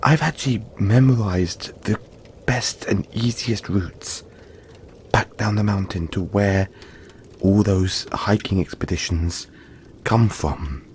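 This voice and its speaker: A man voicing a gentle, friendly young yeti in an intimate audio roleplay, speaking softly and reassuringly, with halting, breathy phrasing. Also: lowish voice, slight background noise. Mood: friendly, earnest. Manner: soft-spoken, reassuring, halting, often breathy near-whisper.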